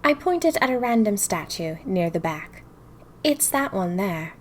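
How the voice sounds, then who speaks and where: A woman's voice: medium pitch, a little slow, slight background noise. Woman playing a spirited young 1920s diarist. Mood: scheming, determined. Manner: theatrical, expressive storytelling. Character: spirited, dramatic, inquisitive young woman writing her 1920s diary. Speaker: audio fiction narrator. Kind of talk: first-person diary narration in a fiction podcast.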